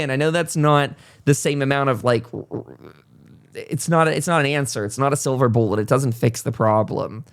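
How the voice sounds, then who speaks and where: A male podcast host with a mid-range voice chats informally. He talks in a meandering, encouraging manner and is modest about his own standing. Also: medium pitch. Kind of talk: casual conversational interview talk.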